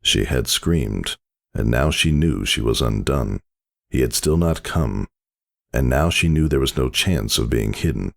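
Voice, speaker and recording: Male narrator for dramatic fiction: extremely low, highly expressive, intense and theatrical. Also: a little slow, gravelly.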